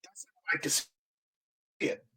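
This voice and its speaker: A man hosting a live stream in casual chat, reading out viewer comments in a halting way and often repeating words. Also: pushed and bright, slight background noise.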